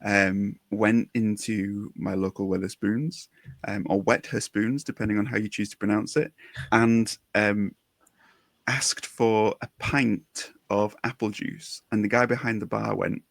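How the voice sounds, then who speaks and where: A man's voice: lowish voice, steady tone, a little slow, vocal fry, no room sound. A man hosting a podcast, telling stories in a chatty way and laughing often. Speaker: podcast host. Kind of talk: casual chat.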